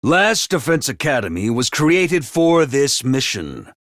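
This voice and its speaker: A man voicing an excitable, theatrical mascot commander of a military school in a video game, speaking emphatically. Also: medium pitch, a little slow, no echo, studio quality. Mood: solemn explaining. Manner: theatrical, emphatic, swinging from grave to bombastic. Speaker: commander addressing students. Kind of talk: animated video game voice acting.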